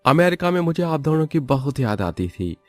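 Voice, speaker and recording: A man's voice: a little high, sing-song, normal speed, no echo, soft background music, pitched above the performer's own voice. A man voicing an affectionate grown son in a scripted story. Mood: emotional, affectionate.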